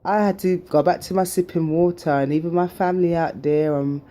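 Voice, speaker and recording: A middle-aged woman talks straight to her viewers in a low, mellow voice. She rambles through her story with plenty of fillers and turns emphatic and encouraging as she makes her point. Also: steady tone, brisk. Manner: chatty and emphatic, many fillers, motivational. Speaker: vlogger sharing her surgery journey. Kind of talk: casual personal storytelling to camera.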